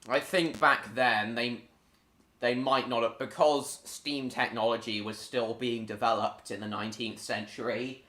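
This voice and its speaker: A man hosts a live stream, chatting casually and rambling to his viewers. His voice sits at a middle pitch. He is heard in an echoey room. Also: fairly bright tone. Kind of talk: casual chat while reacting to videos.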